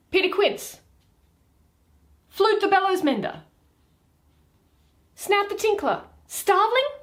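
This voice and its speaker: A woman's voice: very high-pitched, pitched above the performer's own voice, exaggerated voice. A woman reading Shakespeare, voicing a bumbling, pompous weaver man who muses theatrically in amazement after waking. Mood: loud, calling out. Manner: theatrical, exclamatory, musing.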